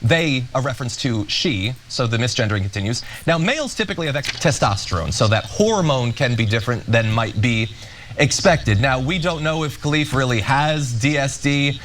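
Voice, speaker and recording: A man hosts a political talk show and walks through a news story. His tone is measured and explanatory, and his voice sits at an ordinary middle pitch. A faint noise runs underneath. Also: lively intonation, fairly bright tone. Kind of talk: news commentary.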